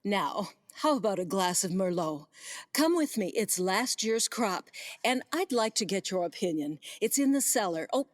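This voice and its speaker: A woman's voice: mid-range voice, lively intonation, normal speed, no echo, clean studio sound, natural voice. A woman acting a gracious, commanding, flirtatious vineyard owner with genteel, theatrical emphasis in a comedic radio play. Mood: confiding.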